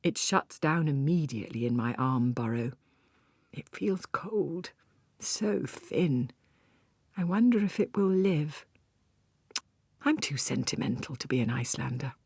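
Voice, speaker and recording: Low-voiced woman reading a story, dry close sound. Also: animated, measured pace, pauses often, a little mellow, husky, no room sound. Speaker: audiobook narrator. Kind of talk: scripted literary first-person narration.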